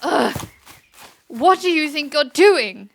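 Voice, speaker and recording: A spoiled, mischievous young princess from a fantasy audio drama. Her voice is on the high side and dripping with theatrical sarcasm, over a faint background noise. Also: animated, takes it slowly, a bit bright, exaggerated voice. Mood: indignant, furious.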